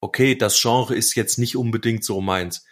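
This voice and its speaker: A man's voice: on the low side, normal speed. A man co-hosting a podcast, chatting casually about music in an enthusiastic, slangy, emphatic way. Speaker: podcast co-host.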